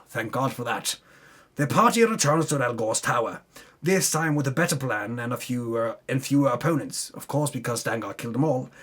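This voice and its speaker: A man's voice: medium pitch, a bit bright. A man giving a dramatic, mock-epic narration of a fantasy campaign recap, grandiose in delivery with dry, tongue-in-cheek asides. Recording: music under the voice. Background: background music.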